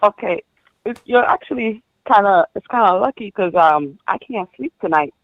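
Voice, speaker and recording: A woman of about forty, born in Haiti, calling in to a show and recounting her life in a halting way, full of ums and restarts. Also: medium pitch, animated, no room sound. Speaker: caller. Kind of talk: personal story on a call-in show. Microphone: phone. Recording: dull dry phone line.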